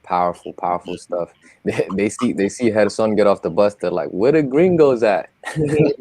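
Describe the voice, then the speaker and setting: A man's voice: lowish voice, normal speed, dark tone, no echo. A man co-hosting a podcast, laid-back, mostly giving brief reactions. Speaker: podcast co-host. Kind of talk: casual podcast chat.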